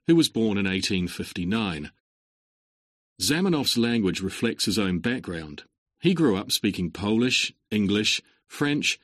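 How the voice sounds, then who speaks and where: A man giving a lecture for a listening exam, with clear, measured delivery that lifts on linking words. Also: on the low side, dry recording, studio quality. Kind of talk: scripted exam-recording lecture.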